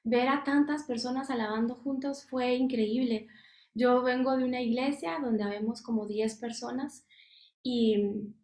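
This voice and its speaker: A young woman from Guatemala gives an earnest testimony, pausing often on fillers and restarting her sentences. Her voice sits a little high for a woman. The sound is roomy and echoey. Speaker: missionary speaker. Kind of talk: personal testimony at a missions workshop.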